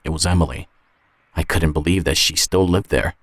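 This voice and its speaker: A man narrating a scripted first-person story in a measured, reflective manner. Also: extremely low pitch, steady tone, a bit bright, breathy edge. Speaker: narrator and author.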